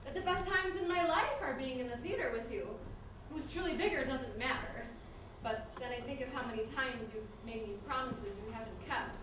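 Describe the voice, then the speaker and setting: A woman playing a dramatic, high-strung role in a theatrical screwball comedy reading. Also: brisk, natural voice. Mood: wary, earnest. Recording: very echoey hall, distant, noisy.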